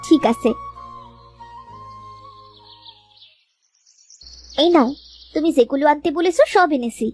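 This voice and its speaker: A woman's voice: high voice, fast talker, a little mellow, soft background music, close to an everyday voice. A woman playing a worried village wife and mother in a cartoon, fretful but caring. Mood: mild nagging. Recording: noisy track with ambience behind.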